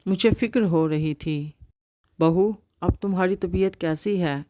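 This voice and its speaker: A woman's voice: lowish voice, unhurried, warm tone, no room sound, lightly acted. A woman voicing a fussing but permissive older mother-in-law. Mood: concerned.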